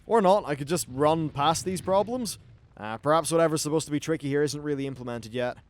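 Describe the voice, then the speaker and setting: A man's voice: on the high side, a little fast. Sweary male gamer doing commentary. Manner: sweary, wry asides. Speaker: gaming video host. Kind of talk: casual game commentary.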